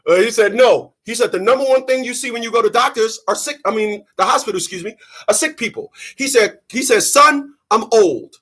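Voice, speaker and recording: High bright husky-voiced man in his thirties. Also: a little fast. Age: 30s. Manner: animated, preachy, mocking quoted voices. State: sweaty after a run. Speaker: channel host. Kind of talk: casual monologue to camera.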